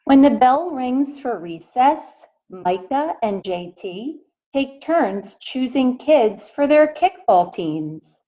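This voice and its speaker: Slow-paced woman reading aloud to children. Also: medium pitch, lo-fi sound. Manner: deliberate, expressive, addresses young listeners directly. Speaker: teacher reading a picture book aloud to children. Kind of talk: read-aloud storytelling for young children.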